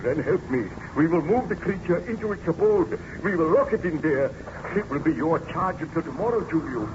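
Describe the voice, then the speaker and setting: An actor playing an obsessed, proud scientist in an old radio horror play, grandiose, melodramatic and commanding. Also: male voice, on the high side, animated, brisk, lightly acted. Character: obsessed male scientist, proud creator of a monster. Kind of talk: old-time radio horror drama acting. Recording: dull, noisy vintage radio recording.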